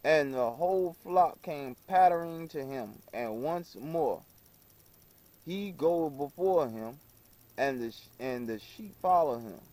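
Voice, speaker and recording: A man reads aloud from an old book at an unhurried, slow pace. His voice is middling in pitch and mellow in tone. The delivery is halting, with stumbles and repeated phrases as he works through the text. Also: expressive. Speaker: reader.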